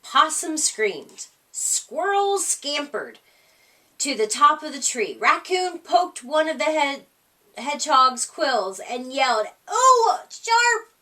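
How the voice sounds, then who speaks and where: A woman reads a children's story with a bright, high voice. Her delivery is expressive and deliberately slow, as storytellers do. She sounds a little way from the microphone. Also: distant mic. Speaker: storytime reader. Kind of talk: reading a children's story aloud.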